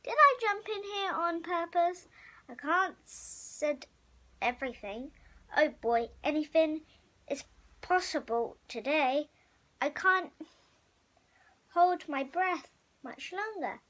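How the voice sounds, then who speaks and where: A child reading a picture storybook aloud expressively, acting out the hero and halting over some words. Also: high-pitched, lively intonation, pushed and bright. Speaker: story reader.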